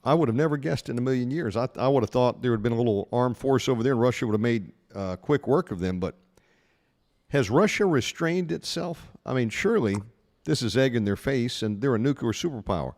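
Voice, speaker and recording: A man's voice: mid-range voice, expressive, brisk, darkish tone, big-room sound. A man hosting a podcast, asking questions conversationally and reacting with short interjections. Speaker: podcast host.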